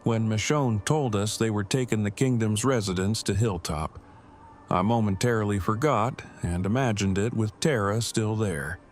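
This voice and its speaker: A man playing a formal, wordy, deadpan young father and husband in an audio drama, with precise phrasing. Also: lowish voice, normal speed, faint music behind, lightly acted. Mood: calm, reassuring. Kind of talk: fan audio drama acting.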